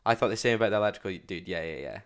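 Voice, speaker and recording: A man giving casual, slangy reaction commentary as a streamer, with blunt loud outbursts. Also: lowish voice, lively intonation, very fast, smooth.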